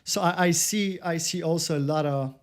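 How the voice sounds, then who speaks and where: A man with a Polish accent hosts a casual interview. His voice is somewhat high for a man. He talks haltingly, doubling words and restarting phrases as he goes. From Poland. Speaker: podcast host.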